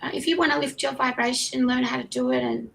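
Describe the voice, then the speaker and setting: Woman host, higher gravelly voice, chatty and apologetic, hoarse, faint hiss behind. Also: steady tone, quick pace, fairly bright tone, rough recording. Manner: chatty, apologetic, trips over her words. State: losing her voice. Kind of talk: casual live-stream chat.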